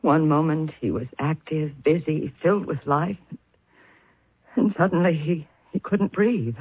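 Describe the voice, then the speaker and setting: Guarded, easily indignant widow for vintage radio mystery: raspy, formal, dramatic, dry muffled broadcast. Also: female voice, low-pitched, unhurried, dry recording. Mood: grieving. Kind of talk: old radio melodrama acting.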